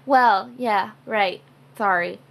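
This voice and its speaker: A woman's voice: medium pitch, slow pace, creaky, a bit bright, no echo. A woman playing a naive, earnest little superhero sister in a radio drama. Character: innocent, prim little schoolgirl superhero sister.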